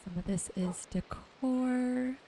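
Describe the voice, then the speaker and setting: A woman softly and gently narrating her gameplay in an ASMR style, musing to herself as she goes. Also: high voice, takes it slowly, slight background noise. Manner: soft-spoken, gentle musing to herself while sorting. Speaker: gaming video host.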